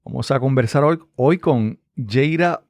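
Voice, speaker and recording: A man's voice: mid-range voice, a little slow, a little mellow, no echo. A man hosting a podcast interview, asking rambling friendly questions and often repeating words. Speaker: podcast host.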